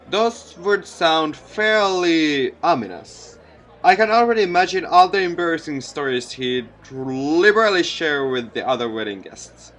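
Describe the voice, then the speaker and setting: Bright-voiced man narrating a game, slightly high. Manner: stumbles over lines and corrects himself. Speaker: gaming YouTuber reading a visual novel aloud. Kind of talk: let's play read-aloud narration with asides.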